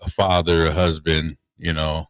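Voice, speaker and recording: A man with a low, bass-heavy voice and an easygoing manner, rambling through his stories as a comedian guest on the radio. The sound is dry and close, with no room around it. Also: level tone, moderate pace, dry recording. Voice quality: deep bass voice. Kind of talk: casual radio interview. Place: radio studio.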